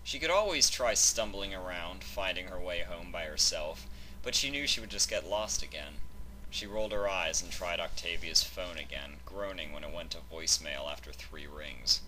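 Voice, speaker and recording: A man's voice: deep voice, moderate pace, pushed and bright, low-quality recording. A man reading a scripted fan fiction story aloud as its narrator.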